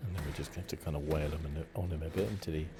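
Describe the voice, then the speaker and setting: A man giving relaxed, playful ASMR gameplay commentary, rambling a little hesitantly. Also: rumbling low, very fast. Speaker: gaming video host. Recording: game noise behind the commentary. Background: fantasy video game sounds.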